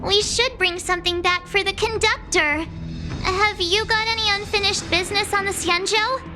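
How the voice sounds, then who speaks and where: A woman's voice: very high voice, fairly even intonation, normal speed. An actress voicing a bubbly, forgetful young woman companion in a video game, chatty and scatterbrained. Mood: cheerful. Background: game music.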